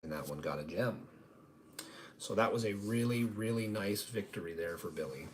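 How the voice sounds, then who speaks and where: A man's voice: lowish voice, moderate pace. A man with a slightly nasal voice, hosting a casual live-stream card reveal in a conversational, self-deprecating way. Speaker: sports card grading reveal host. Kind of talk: casual live-stream commentary on trading cards. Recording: noticeable steady background noise.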